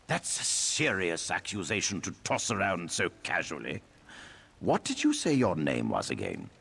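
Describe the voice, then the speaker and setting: An actor voicing a haughty, sneering elf high priest in a video game, condescending and theatrically sarcastic. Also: male voice, vocal fry, exaggerated voice. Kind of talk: video game character acting.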